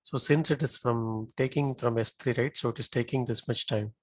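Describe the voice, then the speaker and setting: A man teaching software over a shared screen, explaining step by step in a halting way. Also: medium pitch, fairly even intonation, dry recording. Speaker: software trainer.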